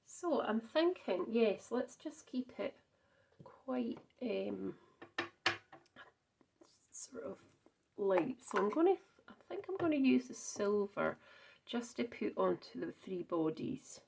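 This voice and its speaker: A woman's voice: mid-range voice, very animated, takes it slowly, creaky, noisy background. A Scottish woman chatting through a card-making demonstration, thinking aloud with frequent ums and small self-corrections. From Scotland. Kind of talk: casual crafting demonstration.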